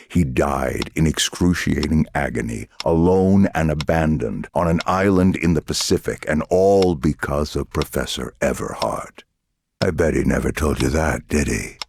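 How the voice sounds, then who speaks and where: An actor playing a menacing, condescending male government interrogator in a dramatic video game, speaking deliberately. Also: a little mellow, slight background noise, exaggerated voice. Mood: cold, insinuating. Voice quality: deep, gravelly.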